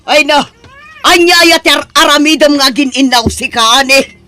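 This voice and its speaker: A woman acting a sharp, high-voiced disapproving mother-in-law. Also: measured pace, soft background music. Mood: angry scolding. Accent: Ilocano. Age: middle-aged. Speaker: actor in an audio drama. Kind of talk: Ilocano audio drama acting.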